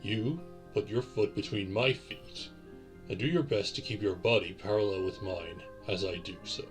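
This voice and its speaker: A man playing a courtly, centuries-old vampire boyfriend in a romantic audio roleplay, speaking in formal, old-fashioned, deliberate phrases. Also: on the low side, faint music behind, lightly acted. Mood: tender, guiding.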